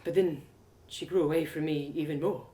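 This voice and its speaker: A woman's voice: deep voice, fairly even intonation, moderate pace, warm tone, a little hiss or hum, natural voice. Woman acting a heartbroken young village lad, roomy echo. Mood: regretful. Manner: colloquial rustic, confiding, hesitant. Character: heartbroken earnest young village man confiding in stranger. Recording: roomy echo of home live reading.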